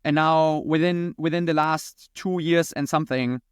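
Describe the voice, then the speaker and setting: Male founder as podcast guest, German accent, slightly high voice, conversational, dry close recording. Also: steady tone, moderate pace, smooth, dry recording. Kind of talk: conversational interview answers.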